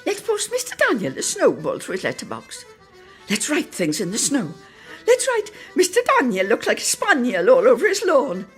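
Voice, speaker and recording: A woman's voice: fairly high pitch, huge pitch swings, moderate pace, soft background music. A woman voicing an eager, boastful small boy at play, in a dramatic radio story reading. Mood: mischievous. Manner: eager, breathless, playful boasting. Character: excitable, boastful small boy playing in snow.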